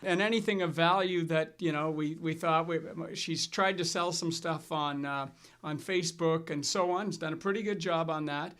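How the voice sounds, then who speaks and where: A middle-aged man hosting a financial advice show, telling stories in a relaxed way with fillers and restarts. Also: fairly high pitch, moderate pace, clear, resonant tone. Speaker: financial advisor and show host. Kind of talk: casual scripted chat.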